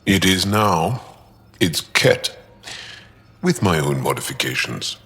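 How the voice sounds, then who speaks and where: A man playing an earnest, guarded alien warrior and tinkerer in a video game, speaking haltingly and thoughtfully. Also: sits low, sing-song, fairly bright tone, full character voice. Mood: thoughtful, candid. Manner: halting, thoughtful, measured delivery. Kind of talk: video game dialogue acting. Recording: reverberant room with music behind.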